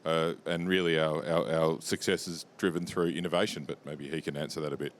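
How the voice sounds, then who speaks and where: An Australian man with an extremely low voice explains things as a company executive in an interview. His delivery is level and matter-of-fact, over a faint low rumble. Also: steady tone, fairly quick. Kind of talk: conversational business interview. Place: interview lounge at a trade show. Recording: low rumbling background noise.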